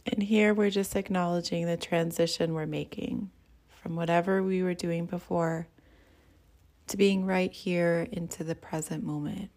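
A woman leading a guided meditation as a teacher, speaking in a soothing, gentle and unhurried way. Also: on the low side, steady tone.

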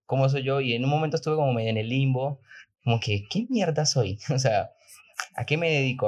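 A man hosting a podcast in a casual, conversational way, thinking aloud in an informal, rambling manner. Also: mid-range voice. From outside Argentina, moved there. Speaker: podcast host.

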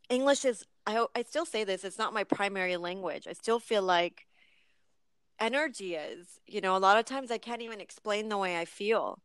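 A woman talking casually in a spiritual conversation, haltingly searching for her words as she goes. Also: medium pitch, a bit bright, clear, resonant tone, no echo.

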